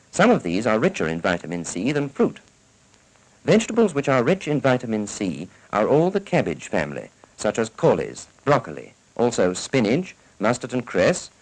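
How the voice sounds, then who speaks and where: A man's voice: mid-range voice, low-quality recording. A man with a British accent giving a formal, instructive scripted narration in the style of a 1940s educational film, on a vintage soundtrack. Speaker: narrator of a public information film.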